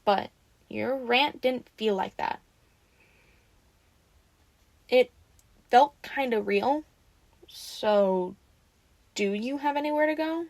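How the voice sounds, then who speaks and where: A woman's voice: a little high, slow pace, long pauses, darkish tone, no room sound. A woman playing a teasing, bossy but caring vampire in an audio roleplay, talking informally and expressively to the listener. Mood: frank, probing. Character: teasing, sassy, caring female vampire taking in a newly turned one.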